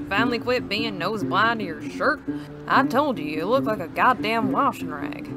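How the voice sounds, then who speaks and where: A teasing outlaw henchman voiced by an actor with swooping, animated pitch. The talk is folksy and mocking, from a Western audio drama with loud guitar behind. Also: measured pace, lightly acted. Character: teasing, cussing Old West gang goon.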